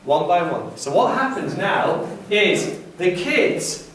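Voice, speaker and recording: A man's voice: sits high, sing-song, slow pace, fairly bright tone, a little hiss or hum. A man leading a teacher training session, explaining step by step how an app turns a mind map into a presentation. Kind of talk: classroom demonstration. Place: school classroom. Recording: echoey room, mic far away.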